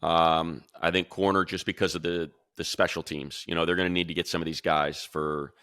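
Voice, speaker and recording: A man's voice: low-pitched, flat delivery, a little fast, a bit bright, no echo, clean studio sound. A man giving casual, opinionated football draft commentary as a radio analyst, talking conversationally and thinking aloud. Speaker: sports radio announcer and analyst.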